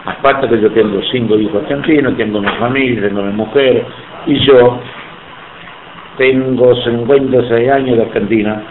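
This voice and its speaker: A man's voice: mid-range voice, fairly even intonation, moderate pace, roomy sound, phone-quality sound, a little hiss or hum. A man in his seventies from Sicily, speaking Spanish with an Italian accent in an oral history interview, emphatic and indignant. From Sicily, Italy. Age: 70s.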